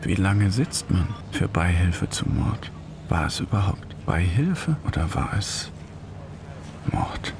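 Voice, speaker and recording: An actor playing a guilt-ridden lover in a German radio drama, giving a sober, introspective inner monologue. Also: male voice, deep voice, very animated, slow pace, creaky, background noise, exaggerated voice. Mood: guilty, tense. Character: guilt-ridden lover carrying a terrible secret. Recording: music under the voice.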